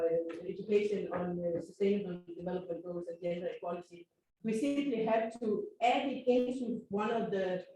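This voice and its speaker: A woman's voice: mid-range voice, a little mellow. A woman making a matter-of-fact audience comment at a workshop. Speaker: workshop participant. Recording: echoey hall, far from mic.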